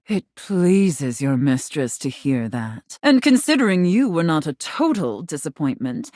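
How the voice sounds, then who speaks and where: Imperious game mistress, condescending and teasing, formal clipped diction, lower register, very animated intonation. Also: female voice, no echo, studio quality. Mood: pleased, condescending. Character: haughty, domineering mistress, sternly condescending and teasing. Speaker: voice actor in a dating simulator game. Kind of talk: theatrical video game character acting.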